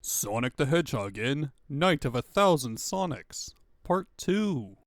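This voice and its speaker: Animated male comic-book narrator. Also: medium pitch, measured pace, dry recording, clean studio sound. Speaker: narrator and voice performer. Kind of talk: dramatic scripted comic narration.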